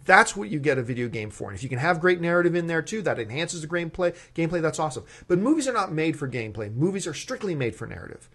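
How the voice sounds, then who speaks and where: A man's voice: on the high side, sing-song, quick pace, hardly any pauses, a bit bright, breathy edge. A man hosting a movie talk show, giving opinions in casual, emphatic speech and repeating words for stress.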